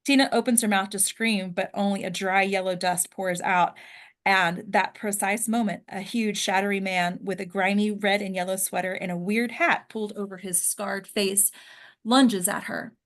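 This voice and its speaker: A woman reading stage directions at a script table read as deliberate, descriptive dramatic narration. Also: medium pitch, steady tone, fairly bright tone.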